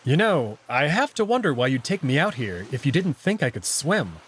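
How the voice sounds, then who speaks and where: A man's voice: mid-range voice, very animated, normal speed, fairly bright tone, breathy edge. A man playing a young human visual novel protagonist who talks casually with a wry, mildly defensive manner. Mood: mock indignant.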